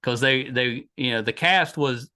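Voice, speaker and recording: A man's voice: mid-range voice, measured pace, sharp tone. A man hosting a podcast interview, chatty and enthusiastic, often restarting his sentences. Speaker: podcast host. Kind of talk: casual interview chat.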